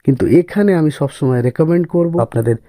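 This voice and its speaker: A man's voice: on the high side, expressive, fast talker, warm tone, no room sound. A man narrating a step-by-step screen tutorial as host, often repeating himself and addressing viewers as friends.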